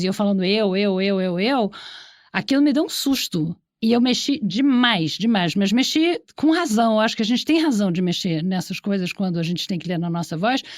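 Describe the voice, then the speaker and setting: A woman's voice: mid-range voice, no echo, studio quality. A woman hosting a conversational podcast interview, speaking emphatically and repeating words for stress. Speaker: podcast host.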